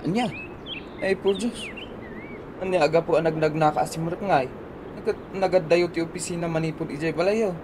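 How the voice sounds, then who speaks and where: A man's voice: on the high side, fairly quick, long pauses, lightly acted. A man playing a concerned, advising friend in a radio comedy-drama, inquisitive, with an Ilocano accent. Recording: clearly noisy audio.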